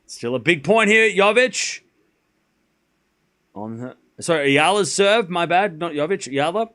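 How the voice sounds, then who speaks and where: A man with a softened Australian accent calls a tennis match live for his stream viewers, his voice sitting a bit high. He jumps up in energy when a rally heats up, then slips into low asides as he answers chat. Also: measured pace. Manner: rises excitedly on rallies, drops low and muttering between points. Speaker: streamer commentator. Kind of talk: live play-by-play commentary with chat.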